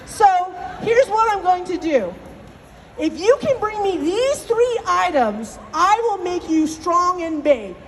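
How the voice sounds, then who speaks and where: A woman's voice: extremely high pitch, sing-song, slow, slight background noise, exaggerated voice, low-quality recording. Lazy storybook god of animals, male, played by a woman: weary, commanding, dismissive.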